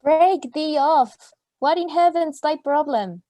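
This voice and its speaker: A woman's voice: high-pitched, slow, mellow, no room sound. A woman playing an exasperated young student in a radio play, declaiming in mock Shakespearean English. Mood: indignant, theatrical. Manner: declamatory, archaic phrasing.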